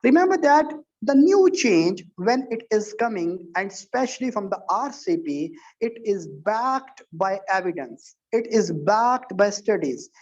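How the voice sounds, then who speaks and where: A man's voice: high voice, darkish tone. Man lecturing over a dull, lo-fi call. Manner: earnest, repetitive lecturing with many restarts. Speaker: medical exam tutor. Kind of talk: online teaching session. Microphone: video call. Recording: dull lo-fi online call audio.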